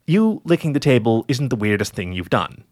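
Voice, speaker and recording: A man's voice: medium pitch, moderate pace, no echo. Man as a weary, sarcastic jackal, very dry sound. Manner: weary, deadpan questioning. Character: tired, exasperated male jackal roommate, dry and sarcastic.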